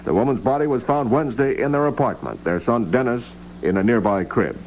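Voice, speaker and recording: A man's voice: medium pitch, fairly quick, a little mellow, poor audio. A man reading scripted news as a radio newscaster on a 1960s AM broadcast. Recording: vintage AM radio, faint hum.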